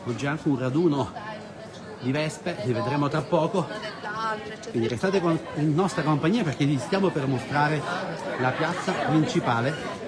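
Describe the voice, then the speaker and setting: A man's voice: a little high, moderate pace. A man giving enthusiastic live walking-tour commentary, addressing viewers as friends. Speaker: host of a live local travel broadcast. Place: outdoors in village streets and squares. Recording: busy outdoor street, crowd and loud music.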